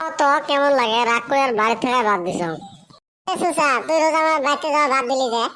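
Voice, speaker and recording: A very high, childlike voice put on by a performer for a teasing village youth in a cartoon comedy. The delivery is exclamatory and full of banter. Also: fast talker, bright, forceful tone, slight background noise.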